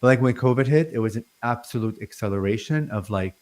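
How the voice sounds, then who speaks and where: A man in his forties, born in Lebanon, talking as a guest on a call-in interview; he stutters and speaks haltingly with many fillers and restarts. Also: mid-range voice, darkish tone. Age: 40s. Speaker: guest channeler. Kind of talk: casual interview talk.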